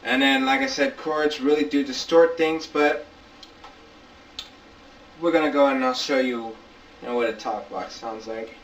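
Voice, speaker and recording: A man's voice: medium pitch, fairly even intonation, a little slow, pauses often, slight background noise. A man hosting an informal hands-on gear tutorial, explaining step by step in colloquial language and repeating key points. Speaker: tutorial host. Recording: distant mic, dull lo-fi camcorder sound.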